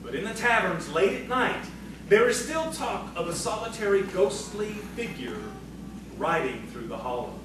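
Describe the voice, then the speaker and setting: American guy narrating a solemn tale, measured, far from the mic in a really echoey room, music behind. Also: high voice, sing-song, background noise. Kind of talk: scripted narration.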